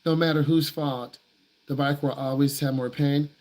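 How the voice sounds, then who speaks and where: A man's voice: fairly high pitch, steady tone, normal speed, a little mellow. A man who works as a driving instructor answers viewer questions on a live stream in a blunt, emphatic way. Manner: blunt, emphatic, repeats himself for stress.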